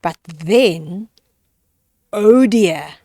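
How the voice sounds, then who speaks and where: An older woman tells a picture-book story to small children. Her delivery is very animated and unhurried, in a close, dry sound. She often steps out of the text to explain things simply. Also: mid-range voice, dry recording. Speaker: storyteller reading children's picture books aloud. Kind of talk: read-aloud children's story with chatty asides to young listeners.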